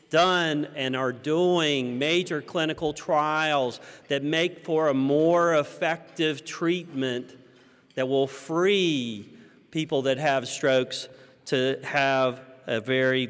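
An American man in his fifties giving a prepared conference keynote at a podium, with measured, rhetorical delivery and deliberate pauses. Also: mid-range voice, fairly even intonation, a bit bright, clean tone. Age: 50s. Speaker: keynote speaker. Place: large conference hall stage, indoors. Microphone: podium microphone. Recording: reverberant hall sound.

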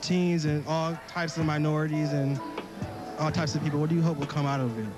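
A young man with a fairly high voice talks earnestly into a handheld mic. Music is clearly audible behind him. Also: little pitch movement, fairly quick. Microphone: handheld microphone.

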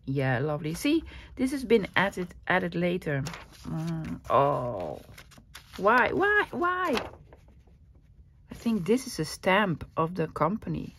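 A woman's voice: on the low side, big pitch swings, slow pace, a bit bright, a little hiss or hum. A woman from the Netherlands with a Dutch accent, chatting casually as she shows off a craft supplies haul, gushing and enthusiastic. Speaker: craft vlogger.

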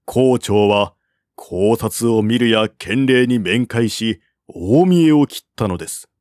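A man reading a historical tale as a narrator, in a measured, formal storytelling manner. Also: fairly low pitch, a little mellow, no room sound, clean studio sound. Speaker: narrator, audiobook-style reader. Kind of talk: scripted narration of a historical Chinese novel.

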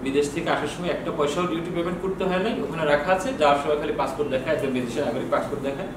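Fast-talking older Bangladeshi man, very echoey. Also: on the high side, fairly even intonation. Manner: asks questions and answers them himself. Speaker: customs bond official giving training. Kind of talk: informal explanatory lecture. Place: small office with bookshelves, indoors. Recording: very echoey, distant mic, noisy room.

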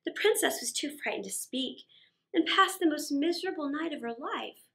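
A middle-aged woman reading a story aloud for children, expressive, with theatrical pauses and emphasis. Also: sits high, creaky. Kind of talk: dramatic read-aloud story narration for children. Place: small indoor set.

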